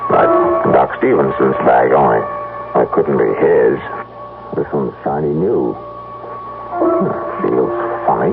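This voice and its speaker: An American actor playing a plain-spoken farmer and husband in an old-time radio drama, folksy and exclamatory. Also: male voice, extremely low pitch, vocal fry, exaggerated voice. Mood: puzzled, muttering. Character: plain-spoken, dog-loving farmer husband, worried about his wife. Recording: tinny narrow-band vintage radio, music behind.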